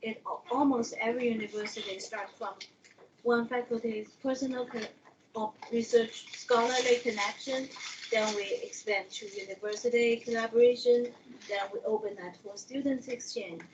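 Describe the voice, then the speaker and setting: A woman who is an international education coordinator, presenting slides in a relaxed, conversational way, with Chinese as her first language and slightly non-native phrasing. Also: fairly high pitch, moderate pace, lo-fi sound, noisy background.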